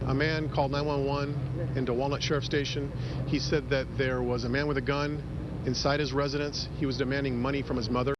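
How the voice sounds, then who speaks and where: A man's voice: medium pitch, flat delivery, fairly quick, muffled recording, music in the background. A man speaking as a sheriff's spokesman, giving a formal incident briefing to the press. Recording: noisy outdoor news sound bite.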